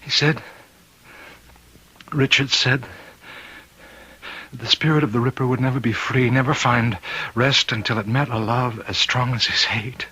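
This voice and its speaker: A tormented warden in a radio thriller, gripped by murderous obsession. The voice is hard-edged and very tense, with a rough husk to it. He speaks with menace and anguish, as if barely holding himself together. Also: mid-range voice, fairly even intonation, unhurried, pauses often, sharp tone, rough recording. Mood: tender, shaken. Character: tormented prison warden possessed by a killer's urge. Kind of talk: radio drama acting.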